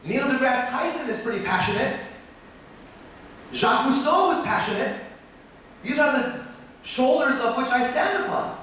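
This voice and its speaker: An American man, a biology professor, giving a passionate conference talk that turns animated as he makes his points. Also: normal speed, pauses often, slight background noise. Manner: passionate, rising in pitch when animated. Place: conference hall. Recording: echoey hall from far off.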